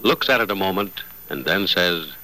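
Male 1950s radio announcer: very bright, punchy, polished scripted reads with animated pitch. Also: moderate pace, rough recording. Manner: emphatic, polished delivery. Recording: vintage radio broadcast recording.

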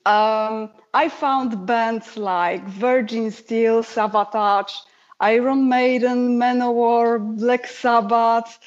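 Polish lady chatting on a podcast, kinda high voice, dull lo-fi sound. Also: steady tone, takes it slowly. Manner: chatty storyteller, laughs easily. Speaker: musician guest. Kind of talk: casual interview chat.

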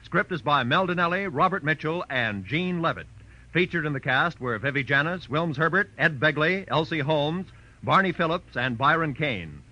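A man's voice: on the high side, animated, normal speed, pushed and bright. A man announcing for a radio network in a formal, emphatic 1940s style. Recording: dull vintage radio recording, faint music.

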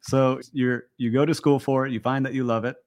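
A man's voice: mid-range voice, level tone, a little fast, a little mellow. A man hosting a hospitality podcast, asking his guest friendly, casual questions. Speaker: podcast host.

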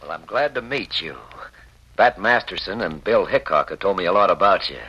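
A man's voice: low voice, moderate pace, bright, forceful tone, slightly rough, heavily acted. An actor playing a genial, plain-spoken American lawman in an old radio western, folksy in manner. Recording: muffled old radio recording.

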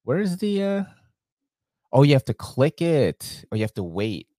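A man's voice: medium pitch, very animated, unhurried, mellow, dry recording, studio quality. A man hosting a live podcast, casually reading sponsor ads with slang, cursing and laughter. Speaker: podcast host.

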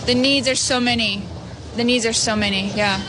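A woman's voice: on the high side, fairly even intonation, normal speed, background noise. A young woman born in Ukraine, a volunteer, giving short, heartfelt answers that trail off with "yeah," outdoors at a busy crossing. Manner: short, warm, emotional answers ending in "yeah". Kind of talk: street interview answers. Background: crowd.

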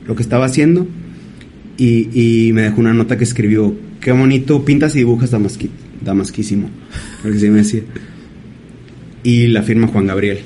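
A man, a painter as guest, telling stories in a thoughtful, halting way. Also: on the low side, warm tone, slight background noise. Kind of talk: casual interview storytelling.

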